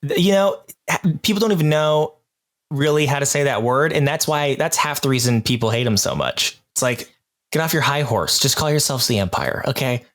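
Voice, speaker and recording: A man's voice: medium pitch, expressive, normal speed, fairly bright tone. A man hosts a casual podcast conversation. His voice sits in an average male register, and he talks informally, like someone chatting with friends in the cast. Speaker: podcast host interviewing the cast. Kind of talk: casual chat.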